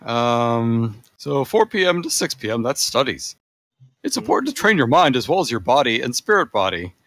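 A man's voice: medium pitch, very animated, moderate pace, a bit bright, no room sound, clean studio sound. A man reading amateur writing aloud for comedy, with an earnest, casual delivery. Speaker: podcast reader.

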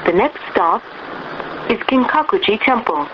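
Slow woman announcer on muffled noisy bus recording. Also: fairly high pitch, very animated. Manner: formal, measured. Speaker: English-language bus announcer. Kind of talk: scripted public transit announcement. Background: loud vehicle noise.

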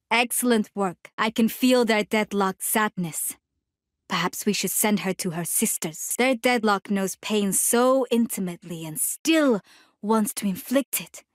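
A woman voicing a serene, compassionate young healer in a video game, with measured, formal phrasing and a gently firm manner. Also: mid-range voice, dry recording, studio quality, natural voice. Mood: calm, encouraging. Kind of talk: scripted video game voice lines.

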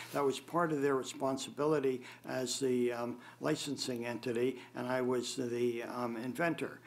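An older man with a mid-range voice testifies at a formal hearing, speaking into a table microphone in a meeting room. His delivery is halting and hesitant, broken by long pauses as he sets out his rebuttal point by point. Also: mid-range voice. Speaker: appellant testifying at a public hearing. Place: government board meeting room, indoors. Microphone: gooseneck table microphone.

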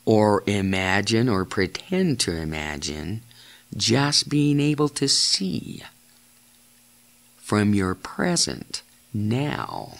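A man with a low, gravelly voice leads a guided imagery meditation. He speaks extremely slowly, in deliberate phrases broken by halts, and his pitch moves up and down widely. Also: wildly swooping pitch, a bit bright. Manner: deliberate, halting phrasing with repeated instructions.

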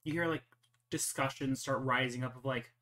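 A game master casually and haltingly narrating an improvised roleplay game over a voice call. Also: medium pitch, steady tone, pushed and bright.

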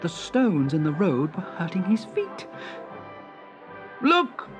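A woman narrates a children's storybook with theatrical flair. Her pitch swings dramatically, and music plays along behind her. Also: very animated, a little slow, warm tone.

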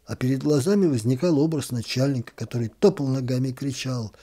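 A man reading a literary story aloud in an expressive, gently ironic storytelling manner. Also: mid-range voice, fast, slightly rough.

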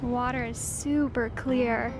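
A woman's voice: a little high, steady tone, slow, music in the background. A young woman co-hosting a sailing vlog, chatting cheerfully to the camera while out at sea. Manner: cheerful, light, laughing. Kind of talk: casual chat to camera. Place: on a sailboat at sea, outdoors. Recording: wind noise at sea.

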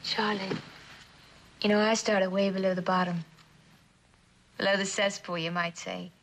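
A woman's voice: medium pitch, little pitch movement, unhurried, a little hiss or hum, lightly acted, rough recording. A woman as a streetwise young American drifter. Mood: frank, breezy. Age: young adult. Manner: casual, slangy, plain-spoken. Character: streetwise young female drifter, breezy, blunt, kind-hearted. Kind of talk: mid-century American television suspense drama acting.

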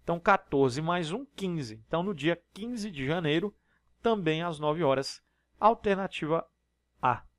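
A man's voice: fairly high pitch, lively intonation, unhurried, no echo. Brazilian man, step-by-step math tutor. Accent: Brazilian Portuguese. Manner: explanatory, step-by-step, frequent tag questions. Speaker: math teacher. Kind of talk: exam problem walkthrough. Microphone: voice-over on screen recording.

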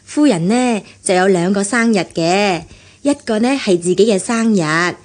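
An actress playing a chatty, fussy household servant with Hong Kong Cantonese speech in a radio drama. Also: female voice, mid-range voice, moderate pace, darkish tone, close to an everyday voice. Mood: explaining, amused.

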